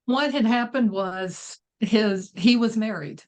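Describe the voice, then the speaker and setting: A woman's voice: a little high, slow pace. A woman speaking as a guest author, casually talking through a story in conversation.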